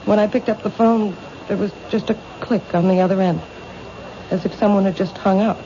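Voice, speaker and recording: An actress as a soft-toned, uneasy woman, muffled recording. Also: medium pitch, normal speed, close to an everyday voice. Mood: unsettled. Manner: hesitant, calm, composed. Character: calm, fearful woman bookshop owner expecting her murder. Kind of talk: radio mystery acting. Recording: muffled, noisy old radio recording.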